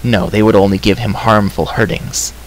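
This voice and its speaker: A man voicing a small shaggy creature from a storybook, whimpering, grovelling and self-pitying in drawn-out wails. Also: lowish voice, fairly even intonation, close to an everyday voice, low-quality recording. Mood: meek, self-pitying. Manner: whimpering, wailing, drawn-out rhyming third-person speech. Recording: clear background hiss.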